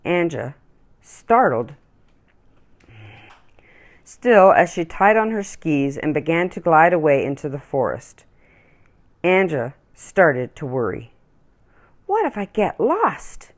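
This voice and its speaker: A woman reading a children's story aloud in an expressive, deliberate storytelling manner. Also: low-pitched. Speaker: children's story-time reader.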